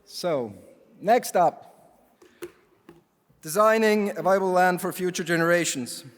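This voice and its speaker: A man from Canada presents awards from a conference stage, slightly high in pitch and wry in tone. He stops on fillers as he goes. The stage microphone carries his voice into a long, echoing hall reverb. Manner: relaxed, wry, halting with fillers. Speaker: jury chair presenting awards. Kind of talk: ceremony speech with asides.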